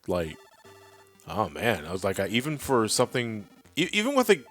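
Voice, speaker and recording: A man's voice: on the low side, wildly swooping pitch, normal speed, a bit bright. A man talking casually and conversationally as part of a video game commentary. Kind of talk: casual chat over gameplay. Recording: game music behind the voice.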